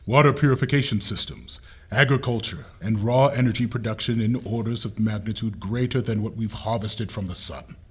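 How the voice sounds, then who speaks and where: A man's voice: mid-range voice, breathy edge. A man playing an admiral who leads a classified summit in a clipped, businesslike tone. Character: brisk male admiral leading a secret summit.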